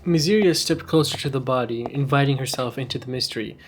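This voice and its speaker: A man's voice: mid-range voice, quick pace, slight background noise. Wry man narrating a story podcast. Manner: wry, conversational. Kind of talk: scripted narration with wry asides.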